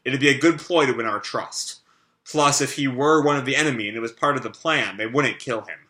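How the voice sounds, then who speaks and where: A man reading aloud a comic, voicing a calm, skeptical adult male strategist in a measured, dry way. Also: medium pitch, close to an everyday voice.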